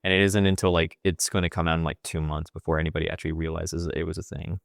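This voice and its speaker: A man's voice: rumbling low, flat delivery, quick pace, gravelly, no room sound, clean studio sound. A man on a school podcast giving his views in long, unbroken stretches. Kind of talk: casual chat.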